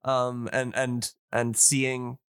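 A man in a casual podcast chat, speaking informally and enthusiastically, with halting phrasing and repeated words. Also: medium pitch, little pitch movement, a bit bright, clean tone, no room sound, clean studio sound.

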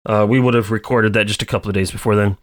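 A man hosting a podcast in a casual chat, leading the talk with many pauses, fillers and restarts. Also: on the low side, fairly even intonation. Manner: hesitant, many fillers and restarts, leads the show. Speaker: podcast host.

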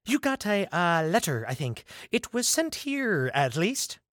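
A man playing a meek, nervous underling, halting and deferential, in an audio drama. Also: sits high, big pitch swings, fairly bright tone, no echo, clean studio sound, heavily acted. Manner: halting, apologetic.

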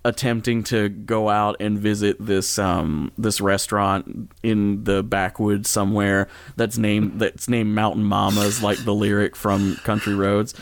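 A man hosting a casual podcast, talking off the cuff in a rambling, halting way and often backtracking mid-sentence. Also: fairly low pitch, little pitch movement, smooth. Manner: chuckles through his own sentences. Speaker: podcast host. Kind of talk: casual unscripted podcast recommendation.

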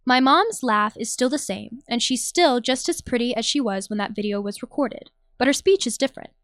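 A woman's voice: on the high side, fairly quick, fairly bright tone, dry recording. Young American woman narrating, close dry sound. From Nashville, Tennessee, USA. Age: young adult. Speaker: narrator, journalism student. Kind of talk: scripted personal audio essay narration.

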